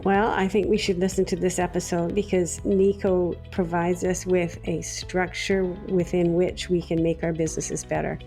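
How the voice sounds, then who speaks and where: A woman's voice: lowish voice, level tone, normal speed, hardly any pauses, mellow, faint music behind. A woman co-hosting a business podcast, earnest and enthusiastic. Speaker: podcast co-host. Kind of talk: casual podcast chat.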